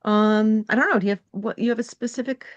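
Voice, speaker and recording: Halting New woman, lo-fi. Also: fairly high pitch, fairly even intonation. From New England. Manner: halting, thinks aloud with many ums. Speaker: game designer guest. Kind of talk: casual interview chat. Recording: dull lo-fi sound.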